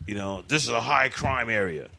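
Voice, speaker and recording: A man's voice: medium pitch, animated, moderate pace, vocal fry, pushed and bright, slight background noise. A man co-hosting a radio talk show, talking passionately and emphatically in casual chat. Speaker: radio co-host.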